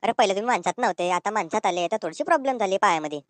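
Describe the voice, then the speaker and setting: A woman's voice: medium pitch, lively intonation, rapid-fire, dry recording, close to an everyday voice. A woman voicing a cheeky, suspicious young village man in a comedy cartoon, with a rural Ahirani accent from north Maharashtra. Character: cheeky young unmarried village brother-in-law, suspicious, quick-tongued.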